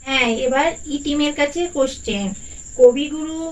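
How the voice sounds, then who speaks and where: A performer acts out an auntie doll running a children's quiz in a puppet show. The voice is high, and the manner is encouraging and deliberate. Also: lightly acted, rough recording. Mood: encouraging, brisk. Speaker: quiz host. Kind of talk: puppet doll story voice acting.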